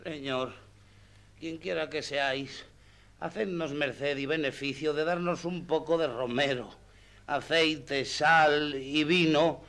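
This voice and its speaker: Credulous peasant squire for radio theatre, kinda high croaky voice, plaintive. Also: male voice, slow, a bit bright. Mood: pleading. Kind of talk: radio drama acting.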